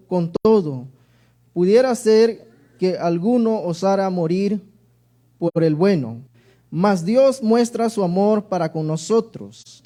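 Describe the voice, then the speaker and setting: A man with a southern Mexican accent preaching and reading scripture to a church in an earnest, deliberate manner. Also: high-pitched, mellow. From Oaxaca, Mexico. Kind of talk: sermon with Bible reading.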